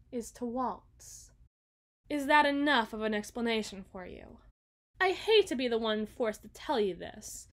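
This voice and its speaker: A woman playing a haughty, battle-hardened evil queen in an ASMR roleplay, speaking formally and deliberately with dramatic pauses. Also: a little high, close to an everyday voice. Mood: proud, stern. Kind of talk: ASMR roleplay monologue, scripted.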